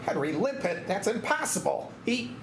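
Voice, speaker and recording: Man as a brisk sea captain, animated pitch, incredulous, table-read parody, echoey and noisy. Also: vocal fry, full character voice. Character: brisk, incredulous male Coast Guard captain. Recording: echoey room with background noise.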